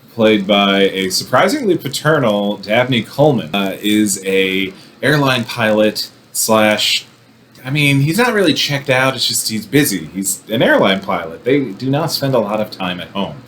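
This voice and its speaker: A man's voice: on the low side, fairly bright tone, a little hiss or hum. A man hosting a movie review show in a casual, conversational way, with wry and irreverent asides. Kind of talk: casual, conversational film commentary.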